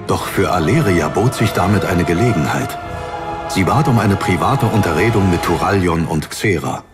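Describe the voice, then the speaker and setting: A man reads scripted fantasy narration in a lowish voice. His storytelling is serious and measured, and clear music plays behind him throughout. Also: animated. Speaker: audiobook narrator.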